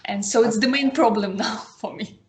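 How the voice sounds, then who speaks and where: A woman from Russia with a Russian accent, a beginner language student speaking slowly and searching for words in an online lesson. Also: a little high. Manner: hesitant, searching for words, laughs often. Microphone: video call. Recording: echoey room over video call.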